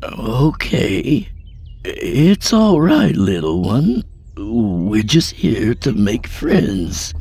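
A man putting on a nervous, coaxing gentleman. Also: medium pitch, very animated, gravelly, husky, dry recording, voice pushed up, exaggerated voice. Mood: nervous soothing. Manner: soft, halting, overly polite coaxing. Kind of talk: storybook reading.

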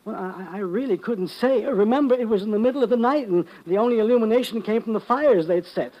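An actor playing a timid, nervous importer in a 1940s radio drama, talking in halting, stammering bursts. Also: male voice, sits high, highs cut off, lightly acted. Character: nervous timid male importer, middle-aged.

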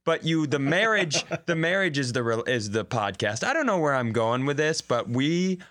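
A man's voice: on the high side, sing-song, normal speed, a bit bright. American man, rambling comic podcast co-host. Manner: joking, playfully grumbling, rambling. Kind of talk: casual comedic banter.

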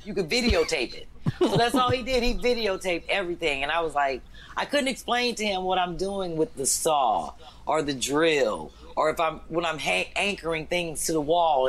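A woman comedian and booker with a deep, raspy voice, telling stories bluntly in casual podcast chat and acting out the quotes. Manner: blunt, animated storytelling with acted-out quotes.

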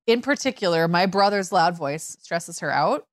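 A woman in her forties in casual conversation, telling family stories in an animated way with lots of fillers. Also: lowish voice, unhurried, no echo. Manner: chatty, animated storytelling with fillers.

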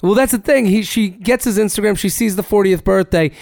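Man, gravelly, fairly high voice, dry close mic, animated podcast co-host. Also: brisk, no room sound. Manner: animated, swears casually, reads listener emails aloud. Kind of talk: casual chat.

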